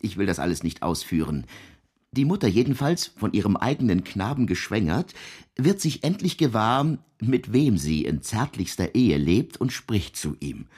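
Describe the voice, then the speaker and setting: A man acting as an erudite, wordy teller of medieval legends in a radio drama, with a husky voice and pedantic, ornate delivery. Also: medium pitch, a little mellow. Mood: wry, narrating. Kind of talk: literary radio drama narration.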